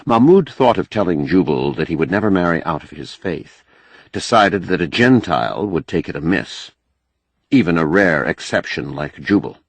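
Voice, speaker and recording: A low-pitched man narrates a novel as an audiobook reader. His delivery is expressive and varied, with a husky edge, recorded close and dry. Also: normal speed, dry recording. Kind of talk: scripted narration of a novel, with character voices.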